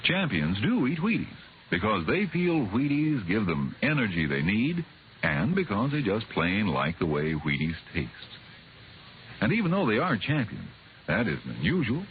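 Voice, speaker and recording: Male radio pitchman, very animated, tinny. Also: moderate pace. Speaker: commercial announcer. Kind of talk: folksy 1950s radio ad pitch. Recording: tinny, hissy old radio recording.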